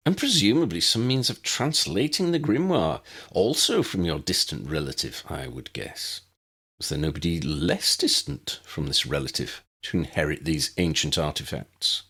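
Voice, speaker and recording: A man voicing an erudite, unflappable old wizard in a fantasy audiobook, measured and pedantic with a needling edge. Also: sits low, very animated, a bit bright, slightly rough, full character voice. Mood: probing, mocking. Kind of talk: fan-fiction audiobook character voice.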